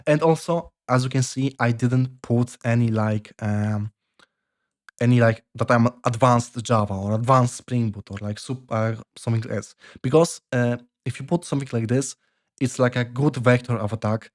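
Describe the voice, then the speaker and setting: Young Polish-accented man, casual vlog advice, stops and restarts his sentences, ordinary pitch. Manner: halting, many fillers and restarts. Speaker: software developer vlogger.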